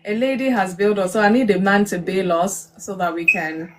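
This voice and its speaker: Nigerian lady hosting a live chat, normal pitch, playful, nudging people to join in. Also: steady tone, brisk, music in the background. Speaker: live stream host. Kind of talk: casual live group chat with encouragement.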